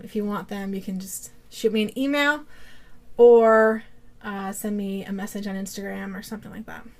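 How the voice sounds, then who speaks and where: A woman with a cold, chatting casually as she hosts a yarn-dyeing video podcast, rambling with many fillers and restarts. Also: medium pitch. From Vermont, USA. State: has a cold. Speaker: yarn dyer and podcast host.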